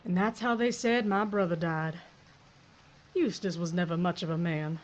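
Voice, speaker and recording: An actress narrating in the first person as a hard-bitten Old West sheriff, with a measured, reflective frontier drawl. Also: female voice, on the low side, highs cut off, lightly acted. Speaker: narrator and protagonist.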